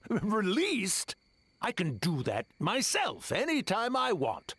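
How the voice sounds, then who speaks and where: A man's voice: high-pitched, huge pitch swings, takes it slowly, fairly bright tone, slightly rough, exaggerated voice. A man voicing a grumpy, boastful tanuki yokai trickster in a video game, with a cocky, theatrical delivery. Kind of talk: video game character acting.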